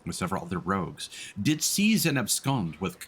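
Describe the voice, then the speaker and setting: Low-voiced, highly animated male game streamer. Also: fairly quick, husky. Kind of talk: casual live gameplay commentary.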